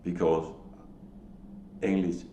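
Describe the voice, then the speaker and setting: A man from Denmark with a Danish accent, giving a solo religious talk to camera in a halting, emphatic way. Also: low voice, flat delivery, dark tone, roomy sound, slight background noise. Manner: slow, halting, emphatic, with short laughs. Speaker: lay preacher.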